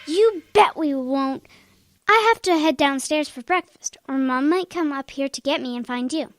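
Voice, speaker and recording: A woman's voice: high voice, normal speed, no room sound, studio quality, lightly acted. A woman playing a young schoolgirl in a children's radio drama, helpful, frank and plain-spoken in a childlike way. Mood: matter-of-fact.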